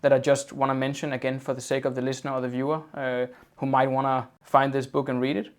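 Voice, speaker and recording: A man's voice: mid-range voice, level tone, quick pace. A man hosting a casual interview over a video call, often repeating his opening words before talking on fluently. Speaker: podcast host.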